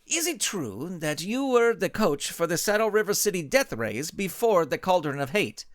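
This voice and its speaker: A man voicing an earnest, formal superhero who questions firmly and deliberately in a story. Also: high voice, sing-song, no room sound, studio quality, pitched above the performer's own voice. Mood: probing, firm. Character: earnest male superhero, measured questioner of the team.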